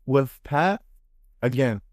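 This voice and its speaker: A man hosting a casual podcast, telling stories in an animated, slangy way. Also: medium pitch, takes it slowly, no echo, clean studio sound. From Dominican background. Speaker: podcast host. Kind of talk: casual chat, storytelling.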